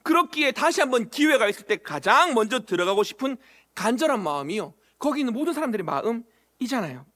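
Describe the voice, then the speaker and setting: A high-voiced man gives a sermon to his church, addressing the people directly with question after question. He slips into little acted quotes to bring scenes to life. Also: very animated, quick pace, sharp tone. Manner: asks the congregation questions, acts out short quoted lines. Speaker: pastor. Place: church sanctuary.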